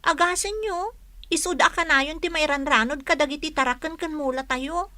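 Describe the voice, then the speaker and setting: Radio play: farmer's earnest young child, high, bright and worried, dry sound with music. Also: female voice, moderate pace, no echo. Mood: worried, protesting. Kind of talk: radio drama acting in Ilocano.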